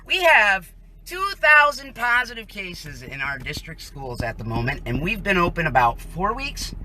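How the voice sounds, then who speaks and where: A woman's voice: lowish voice, very animated, moderate pace, noisy background. An American woman giving emphatic, indignant live commentary from inside a vehicle, leaning on rhetorical questions and pointed statements. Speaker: citizen filming a protest from a vehicle. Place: inside a vehicle on a residential street.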